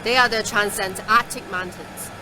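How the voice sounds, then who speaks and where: A woman's voice: mid-range voice, steady tone, moderate pace, noisy background, lightly acted. A woman playing a seasoned, superstitious transport driver in an audio drama, matter-of-fact and assured. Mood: wry, assured. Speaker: voice actor in a fiction podcast.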